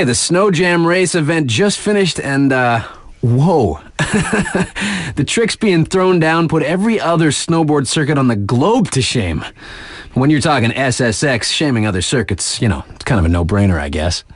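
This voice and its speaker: A man's voice: a little high, no echo. A man playing an enthusiastic, laid-back snowboard radio DJ in a video game, recapping events in casual slang with punchy emphasis. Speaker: radio DJ announcer for a snowboarding circuit.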